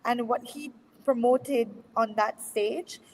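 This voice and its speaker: A woman's voice: on the high side, slow pace, in a large hall, slight background noise, rough recording. A woman, an educator on a panel, speaking passionately and repeating points for emphasis. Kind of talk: panel discussion.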